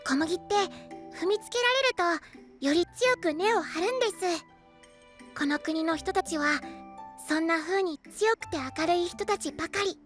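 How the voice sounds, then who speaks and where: A very high, childlike boy's voice, soft, polite and earnest. He sounds like a devoted young knight in an anime-style audio drama. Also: moderate pace, soft background music. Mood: proud, wistful.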